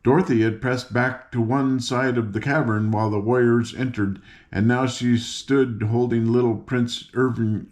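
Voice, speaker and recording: Older guy reading a fairy tale out loud, folksy, chatty asides, trips on words a bit. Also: lowish voice. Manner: folksy, informal, stumbles over words. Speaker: audiobook reader. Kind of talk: read-aloud storybook narration with chatty asides.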